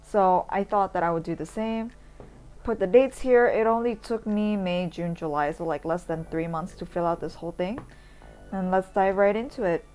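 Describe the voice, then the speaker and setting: A woman's voice: medium pitch, moderate pace, a little mellow, clear, resonant tone. A woman from Indonesia giving a casual, rambling voice-over tour in an informal, chatty way, as a vlogger does. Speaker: vlogger, urban sketcher. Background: background music.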